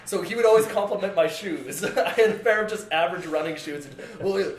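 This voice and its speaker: Young man, podcast co-host, rambling laughing stories, slightly high voice, echoey room. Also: fast. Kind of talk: casual podcast chat.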